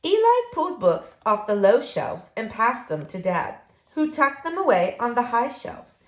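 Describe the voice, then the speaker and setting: A woman reading a children's story aloud in an expressive, deliberate, dramatic way. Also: a little high. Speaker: storybook reader. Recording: roomy, echoing room sound.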